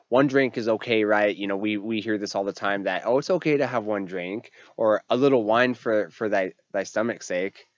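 A man's voice: fairly low pitch, no echo, lo-fi sound. A pastor hosting a faith podcast, a man who is sick with a congested, nasal voice and rambles with many fillers and restarts. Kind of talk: casual faith podcast talk.

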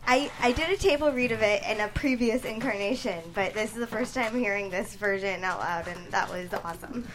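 A woman's voice: medium pitch, a little fast, hardly any pauses, a bit bright, faint music behind, rough recording. A woman screenwriter giving a casual interview in an informal, hesitant manner.

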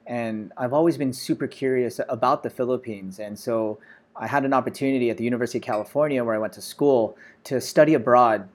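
An American man in his forties, a startup founder telling his story in a relaxed interview, full of "you know" and "uh". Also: medium pitch, quick pace. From Los Angeles, USA.

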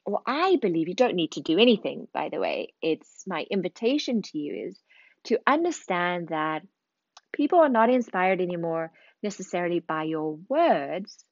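A woman's voice: on the low side, animated, normal speed, no room sound, highs cut off. Earnest South African woman coaching listeners. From Zimbabwe, raised in South Africa. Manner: earnest, reflective, repeats phrases for emphasis. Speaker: podcast host, speaking coach. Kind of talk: motivational podcast monologue.

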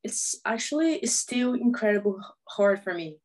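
A young woman from Brazil talks in English that is her second language, slipping on small bits of grammar and laughing easily. She has an average pitch and chats casually about her career as an interview guest. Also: animated, normal speed, a little mellow. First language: Portuguese. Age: 20s. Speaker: guest, professional footballer.

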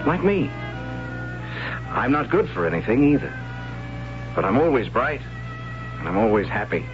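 An actor playing a cheerful but hapless young man, the son of a high priest, who speaks in an easygoing, self-deprecating and hesitant way in a 1970s radio drama. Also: sing-song, moderate pace, close to an everyday voice. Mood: wistful. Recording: dull old radio broadcast with music behind.

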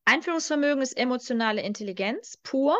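A woman's voice: high voice, expressive, fast talker, a bit bright, muffled recording. A woman explaining as a coach on a podcast, emphatic and direct, often adding short checking questions. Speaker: strengths coach, podcast guest.

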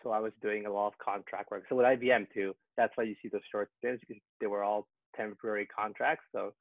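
Young guy, grew up in canada, low voice, chatty with lots of ums, dry phone-like sound. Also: fast, no room sound. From Peru, raised in Canada. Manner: relaxed and upbeat, frequent ums. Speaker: guest, software engineer. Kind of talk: casual interview. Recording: tinny phone-like line, dry.

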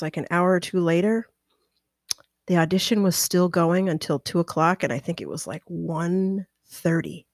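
Animated woman telling a story, dry close mic. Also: dry recording. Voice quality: mezzo-alto singer's lower voice. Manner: animated, gestures with her hands, acts out voices in her anecdotes. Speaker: podcast host telling a personal story. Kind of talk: casual storytelling. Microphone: podcast microphone, close.

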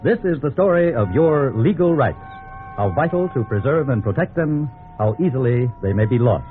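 A man's voice: medium pitch, animated, normal speed. An American man announcing a 1950s radio drama in a dramatic, formal broadcast style. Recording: tinny 1950s radio recording. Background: music.

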